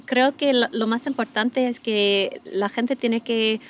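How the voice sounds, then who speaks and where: A woman's voice: on the high side, steady tone, slow, slight background noise. American woman sharing advice, hesitant. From United States. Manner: thoughtful, many fillers and restarts. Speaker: guest, expat parent. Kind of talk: casual interview chat.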